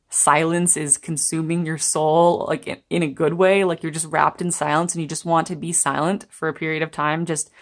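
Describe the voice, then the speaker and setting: A young woman giving a chatty, meandering tarot reading to viewers, laughing now and then as she talks. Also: sits low. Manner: chatty, meandering, gentle, laughs while talking. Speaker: tarot reader. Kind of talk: pick-a-card tarot reading. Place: indoors at a table.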